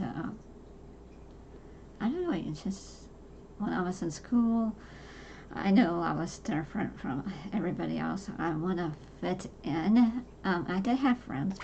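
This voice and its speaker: A soft-voiced woman in her forties, talking casually and haltingly about her own life, with many fillers and restarts. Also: fairly low pitch, vocal fry, slight background noise, poor audio. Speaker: podcast host. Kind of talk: casual personal talk to camera.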